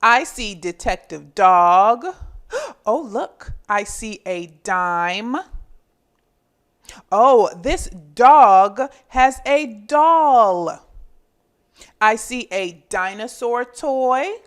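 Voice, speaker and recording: A woman hosting a children's phonics lesson and storybook read-aloud, enunciating deliberately and expressively as a teacher does. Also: on the high side, lively intonation.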